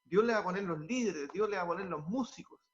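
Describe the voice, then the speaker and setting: A pastor gives earnest, halting answers in a fairly high voice, repeating words as he goes and drifting into preaching. The sound is dull and lo-fi. He is a man speaking in an interview.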